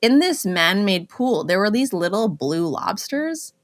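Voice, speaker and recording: A young actress from Canada, chatting as an interview guest, telling lively anecdotes with fillers and laughs. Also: female voice, medium pitch, unhurried. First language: Cantonese. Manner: chatty storytelling, laughs often, many fillers. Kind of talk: casual interview chat.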